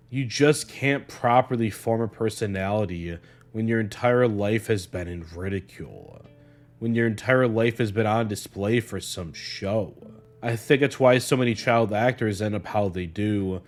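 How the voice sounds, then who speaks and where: A man narrating a scripted video essay in a measured, earnest way. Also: fairly low pitch. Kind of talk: scripted analytical narration of a horror series. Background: guitar music.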